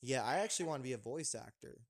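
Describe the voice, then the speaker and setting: A man's voice: medium pitch, expressive, quick pace. Rambling young man chatting on a podcast. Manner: rambling, many fillers and restarts. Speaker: podcast host. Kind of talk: casual podcast chat.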